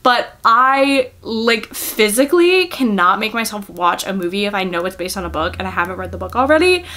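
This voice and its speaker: A woman's voice: medium pitch, lively intonation, brisk, sharp tone. A young adult woman chatting casually to viewers as a book vlogger, gushing and giggly, with lots of filler words. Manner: gushing, informal, filler-heavy with frequent "like".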